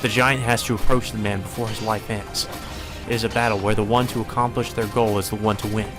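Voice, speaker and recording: A man's voice: on the low side, level tone, fairly quick. A man reading a visual novel aloud as solemn, dramatic scripted narration. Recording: music behind the voice.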